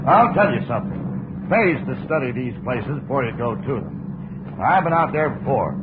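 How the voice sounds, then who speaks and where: A man's voice: mid-range voice, big pitch swings, moderate pace, lightly acted. An actor playing an opinionated, know-it-all travelling salesman in an old radio drama, garrulous, folksy and pushy. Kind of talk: 1950s radio character acting. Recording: noisy, tinny vintage radio recording.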